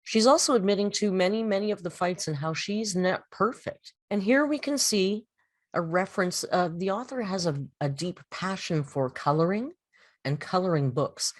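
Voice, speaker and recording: A woman hosting a mock-serious poetry critique, explaining in a deliberate, theatrical, scholarly manner. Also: mid-range voice, dry recording, clean studio sound. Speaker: host giving a reading and critique. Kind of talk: mock-serious dramatic poetry reading and literary commentary.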